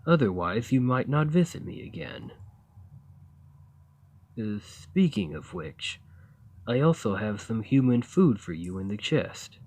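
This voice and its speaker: A man playing a friendly, naive, awkward giant who lives in a cave, in an ASMR roleplay, speaking in a hesitant, earnest, gently upbeat way. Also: mid-range voice, very animated, measured pace, creaky, long pauses, full character voice. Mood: eager to please. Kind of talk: ASMR roleplay, acted monologue to the listener.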